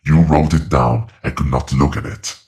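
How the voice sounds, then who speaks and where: Abyssally deep, creaking ancient entity. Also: male voice, fairly even intonation, darkish tone. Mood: relentless, accusing. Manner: slow, deliberate, ominous pronouncements. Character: ancient otherworldly male entity, solemn, relentless, coldly knowing. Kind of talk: horror audio drama monologue.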